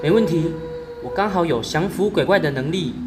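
A man's voice: fairly high pitch, animated, fairly quick, loud music behind the voice, close to an everyday voice. A teenage male student playing a polite traveler who poses as a Taoist exorcist in an amateur radio drama. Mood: solemn. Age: teens.

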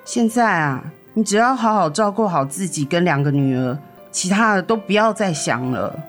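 Woman as a lively, protective big sister. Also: lowish voice, moderate pace, natural voice. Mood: frank, consoling. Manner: blunt, comforting. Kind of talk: radio drama acting. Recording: music under the voice.

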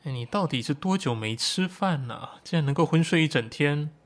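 A man plays an easygoing young man in a radio play, teasing and playful. His voice sits a little high. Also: expressive, moderate pace, very noisy.

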